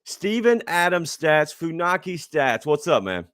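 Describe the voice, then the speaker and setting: A man hosting a casual sports podcast, upbeat and quick to hype things up. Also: a little high, big pitch swings, a bit bright, clear, resonant tone, dry recording, studio quality. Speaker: podcast host.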